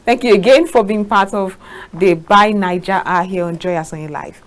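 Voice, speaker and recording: Measured woman hosting a talk show. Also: medium pitch. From Nigeria. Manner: measured, formal introductions.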